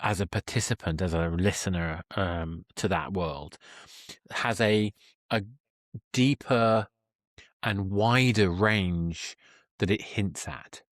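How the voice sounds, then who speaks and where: A man's voice: deep voice, slow pace, no echo, clean studio sound. A man hosting a conversational podcast interview, thoughtful and wordy as he searches for his phrasing. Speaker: podcast host interviewing a guest.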